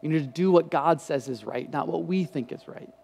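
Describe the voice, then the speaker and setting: A man in his thirties preaches a casual, conversational sermon from a church stage, his voice a touch higher than most men's. He talks through a headset mic, often restarting phrases as he gestures. A long hall reverb trails his words. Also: fairly quick, creaky, slightly rough. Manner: conversational, animated hand gestures, frequent restarts. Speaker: pastor. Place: church stage with lit patterned backdrop.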